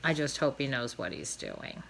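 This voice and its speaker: A woman's voice: extremely low pitch, huge pitch swings, normal speed, gravelly, pitched below the performer's own voice, full character voice. A woman voicing a practical, mildly exasperated mother swan in a storybook read-aloud, dry and matter-of-fact. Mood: resigned.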